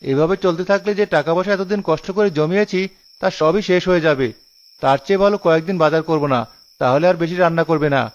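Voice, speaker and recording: A man's voice: on the high side, fast talker, lightly acted. A man voicing a stingy, scolding village husband in a cartoon, dramatic and full of complaint. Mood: grumbling, suspicious. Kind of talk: cartoon dialogue. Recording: dull lo-fi audio with music behind.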